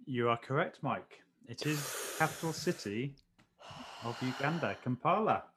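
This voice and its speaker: A man co-hosting a casual show, reading out quiz facts with slow emphasis. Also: mid-range voice, lively intonation, background noise. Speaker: co-host, quiz presenter. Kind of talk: quiz presenting.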